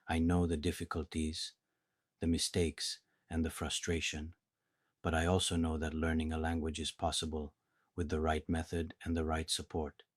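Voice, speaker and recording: A man with an Italian accent teaching a beginner language lesson in a calm, measured, patient way, speaking slowly and clearly. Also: very deep voice, dry recording, clean studio sound. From Italy.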